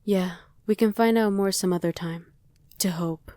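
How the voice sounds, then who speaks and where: A woman's voice: mid-range voice, moderate pace, dark tone, no echo, natural voice. A woman playing a casual young female survivor of a demon invasion in an ASMR roleplay. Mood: casual, explaining. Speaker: voice actor.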